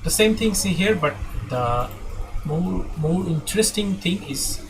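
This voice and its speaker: A man in his thirties, a non-native English speaker, giving an unscripted software tutorial in a halting way, thinking aloud and repeating words. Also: high-pitched, expressive. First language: not English. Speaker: web design tutorial instructor. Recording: loud constant background noise.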